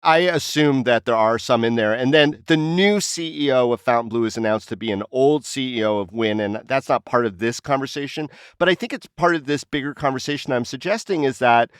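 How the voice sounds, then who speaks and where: A man's voice: mid-range voice, fairly quick, hardly any pauses, clear, resonant tone, no room sound, clean studio sound. A man co-hosting a casual panel discussion, speaking in an opinionated way and posing rhetorical questions. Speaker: podcast co-host.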